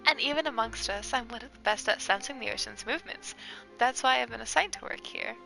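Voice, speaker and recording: A woman's voice: fairly high pitch, fairly quick, creaky, bright, forceful tone, faint music behind, exaggerated voice, rough recording. Woman reading as a cute, earnest little sea creature. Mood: proud, content. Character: earnest, cute female Melusine sea creature, harbor storyteller. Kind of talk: reading game dialogue aloud.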